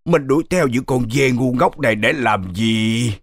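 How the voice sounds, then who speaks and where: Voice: mid-range voice, measured pace, no room sound, studio quality, natural voice. An actor voicing a grumpy, bumbling, greedy wolf villain in a children's cartoon, whining and complaining. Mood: greedy scheming. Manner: whiny, complaining, exclamatory. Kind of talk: cartoon fairy tale dub. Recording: music behind the voice.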